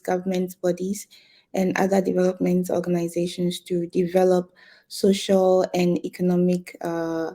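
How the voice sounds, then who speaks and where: A woman from Ghana speaking on a business panel, explaining her points in a measured way with frequent fillers. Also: lowish voice, warm tone. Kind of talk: panel discussion.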